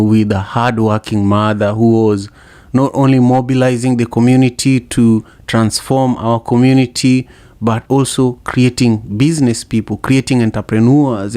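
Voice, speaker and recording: A man's voice: medium pitch, warm tone. A man hosting a podcast interview, speaking in a reflective, conversational way. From Africa. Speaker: podcast host.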